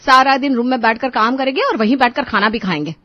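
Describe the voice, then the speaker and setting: A woman voicing an overworked, complaining young daughter-in-law in an animated story. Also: high voice, quick pace, dry recording, lo-fi sound. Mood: fed up. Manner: plaintive, respectful to her elder.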